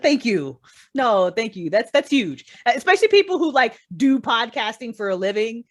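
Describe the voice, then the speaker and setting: A woman's voice: a little high, very animated, moderate pace. Woman guest on a podcast, chatty and effusive, swears a lot, talks like a creator. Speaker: guest, writer and creator of an audio drama. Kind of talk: casual podcast chat.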